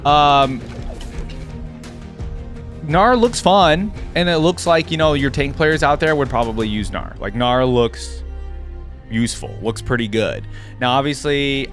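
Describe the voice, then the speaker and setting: A man's voice: medium pitch, a bit bright. A man hosting a reaction video, giving casual commentary and musing aloud.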